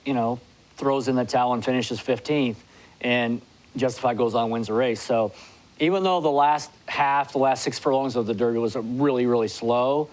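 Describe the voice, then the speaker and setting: A man in his forties with a voice of ordinary pitch, appearing as a guest on a TV studio show and analyzing horse races. He speaks in quick bursts, restarting often and leaning on "you know", into a lapel mic. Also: poor audio. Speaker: guest horse racing handicapper. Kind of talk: studio interview. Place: TV studio with city skyline backdrop.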